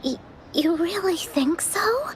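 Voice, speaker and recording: A woman's voice: extremely high pitch, animated, takes it slowly, a little hiss or hum, full character voice. A woman voicing a timid, earnest young child in an anime-style video game, speaking in a hesitant, trusting way. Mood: unsure, hopeful.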